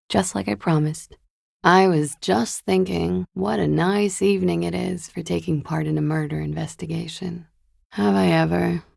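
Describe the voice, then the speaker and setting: Casting: a guarded, wry young woman in a video game, world-weary, heavy vocal fry, dry close sound. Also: lowish voice, darkish tone, no echo, heavily acted. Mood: dry, evasive. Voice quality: fry-laden, gravelly. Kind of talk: naturalistic video game dialogue.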